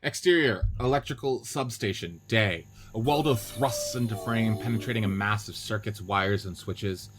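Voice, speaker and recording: A man's voice: on the low side, moderate pace, few pauses, fairly bright tone, soft background music. A man giving scripted narration of stage directions and scene headings for a radio-play reading.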